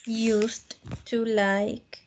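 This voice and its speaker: A woman from northeastern Colombia with a Colombian accent, an English teacher on a video call who gently corrects students and mixes Spanish with English. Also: fairly high pitch, little pitch movement, drawn-out words, slight background noise. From Norte de Santander, Colombia. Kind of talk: online English class.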